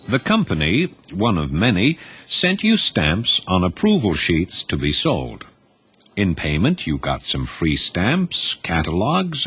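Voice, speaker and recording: A man reading a novel aloud as narrator, in a lively storytelling manner. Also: low-pitched, breathy edge. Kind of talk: scripted audiobook narration.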